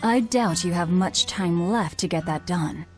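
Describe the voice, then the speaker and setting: A woman's voice: on the low side, measured pace, faint music behind, lightly acted. An actress playing a grim young woman in a game, speaking in a cold, matter-of-fact way.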